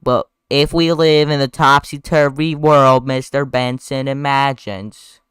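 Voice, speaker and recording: Man putting on a loud, drawling Southern lawyer. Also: mid-range voice, steady tone, clear, resonant tone, no room sound, clean studio sound, natural voice. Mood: grandiose. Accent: exaggerated American Southern. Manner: slow, heavy drawl with grandiose oratory. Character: pompous heavyset southern male lawyer, folksy and theatrical.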